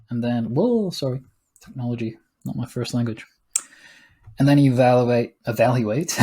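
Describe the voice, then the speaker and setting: A man's voice: mid-range voice, a little mellow, breathy edge. A man, a teacher casually explaining an assessment rubric over a screen recording, with small laughs and asides. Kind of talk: screen-recorded lesson walkthrough.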